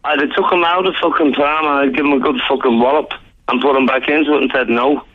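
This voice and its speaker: Male phone-in caller, Dublin Irish, medium-pitched, rough-and-ready colloquial speech, blunt and argumentative. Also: steady tone, quick pace, phone-quality sound. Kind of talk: heated informal argument. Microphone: telephone.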